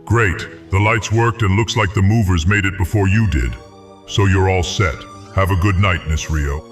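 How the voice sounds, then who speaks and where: A man's voice: low voice, normal speed, lightly acted. An actor playing an easygoing man who works in an apartment building, in an audio drama. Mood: matter-of-fact. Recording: slightly distant, music behind.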